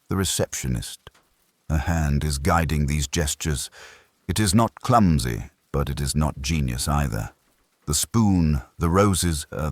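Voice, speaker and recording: A man voicing an imperious, cerebral private detective in an audiobook, speaking in formal, pedantic, measured pronouncements. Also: rumbling low, husky, dry recording, exaggerated voice. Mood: grave, deliberate. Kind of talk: audiobook character dialogue.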